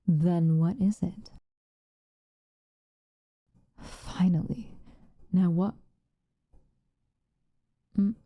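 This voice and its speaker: A woman playing a stern but protective witch in an ASMR roleplay, speaking softly and formally, often in a breathy whisper. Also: on the low side, dry recording, muffled recording, exaggerated voice. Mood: brisk, insistent. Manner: measured, formal, gently commanding.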